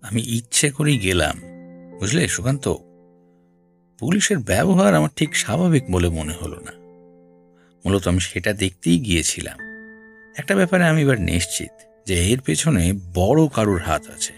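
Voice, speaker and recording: An actor playing a shrewd, persistent senior detective in an audio drama, questioning in a deliberate, probing way. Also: male voice, lowish voice, big pitch swings, vocal fry. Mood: reflective. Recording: music bed behind voice.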